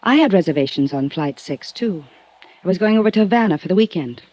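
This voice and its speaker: A woman's voice: fairly low pitch, expressive, quick pace, a little mellow. An actress playing a cool, aloof young daredevil woman in a 1950s radio drama. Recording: dull old radio recording.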